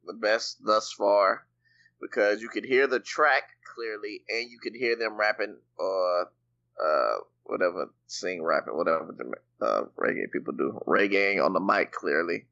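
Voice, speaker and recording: Bright-toned man, excited storyteller. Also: on the low side, measured pace, lo-fi sound. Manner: enthusiastic storyteller, slangy. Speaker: podcast co-host. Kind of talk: casual chat.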